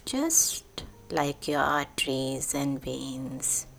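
A woman leading a guided sleep meditation in a soothing, deliberate way, with pauses between short phrases. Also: extremely low pitch, big pitch swings, vocal fry, a bit bright. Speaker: meditation guide, podcast host. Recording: voice over background music. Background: meditation music.

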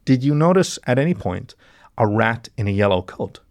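A man's voice: medium pitch, animated, moderate pace, dry recording, close to an everyday voice. A man as a stern detective, mid-range voice, pressing, controlled, narrated mystery story. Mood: calm, firm. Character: stern, probing male private detective.

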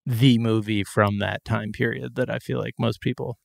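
A man hosting a podcast and chatting in a loose, rambling way with a gravelly, creaky edge to his voice. He is recorded close and dry on a studio mic. His sentences wander and he laughs between phrases. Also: medium pitch, fairly even intonation, moderate pace, no echo. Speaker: podcast co-host. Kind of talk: casual comedic chat.

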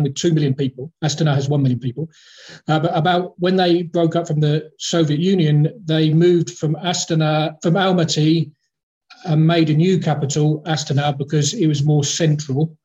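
A man's voice: fairly high pitch, steady tone, normal speed, darkish tone. A man with a slightly raised voice talks as a guest about football, rambling along with frequent ums and uhs and restarting his phrases. The sound is dull and lo-fi, like a remote call line. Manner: rambling, hesitant, many fillers and restarts. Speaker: former football club chief executive, guest. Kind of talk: casual podcast interview. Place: in the capital of Kazakhstan.

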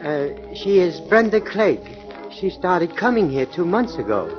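Nervous waiter for an old radio drama, stammering and eager to please, muffled broadcast sound. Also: male voice, high voice, big pitch swings, a little slow, music in the background. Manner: stammering, hesitant. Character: nervous foreign male waiter, timid and eager. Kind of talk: 1940s radio acting.

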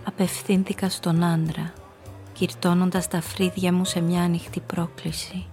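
A female actor plays an observant woman telling her own story in a radio play. Her delivery is measured and atmospheric, with even, restrained intonation over clear background music. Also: fairly low pitch, lightly acted. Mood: wry, observant. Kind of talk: scripted first-person literary narration.

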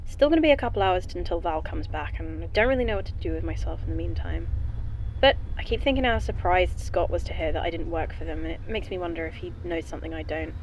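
A woman's voice: on the low side, fast talker, a little hiss or hum, close to an everyday voice, low-quality recording. A woman playing a wry, nosy young woman in an audio drama, informal and sweary. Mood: pensive, curious. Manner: sarcastic, informal, sweary, talks to herself. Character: wry, nosy young woman stranded on a time-travelling train. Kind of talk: naturalistic audio drama acting.

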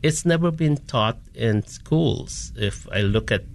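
A man with a Filipino accent, from the Philippines, answering interview questions in halting, measured phrases. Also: mid-range voice, animated, vocal fry. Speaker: interview guest, community historian.

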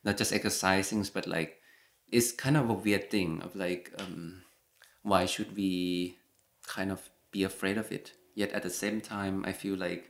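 A young man speaks in a very low voice, stopping and restarting often with lots of fillers. His talk is loose and conversational, circling around his thoughts before landing on them. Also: steady tone, a little slow, vocal fry. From Thailand. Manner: hesitant, many fillers and restarts. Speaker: guest. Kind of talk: casual conversation.

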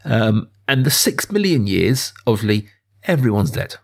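A man, perhaps in his thirties, talking through a film casually and earnestly, thinking aloud in a halting way as a podcast host. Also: lowish voice, very animated, vocal fry, slightly rough.

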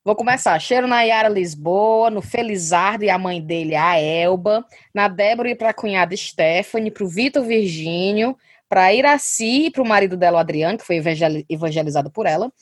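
Host, mid pitch, dry close sound, brisk list-reading. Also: female voice, dry recording. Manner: quick interjections, reads shout-out lists. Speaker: podcast co-host. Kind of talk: casual chat.

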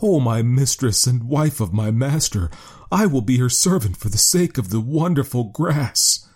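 A humble wild horse who speaks in a solemn, devoted tone, pitched a little higher and louder, as a male narrator puts him on. He sounds earnest, a plain storybook creature. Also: lively intonation, moderate pace, husky.

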